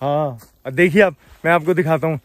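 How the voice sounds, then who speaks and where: A man's voice: on the high side, fairly quick. A man from India narrating a travel vlog casually and enthusiastically, mixing English words into his speech and addressing viewers directly. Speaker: travel vlogger. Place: outdoors on mountain roads and in orchards.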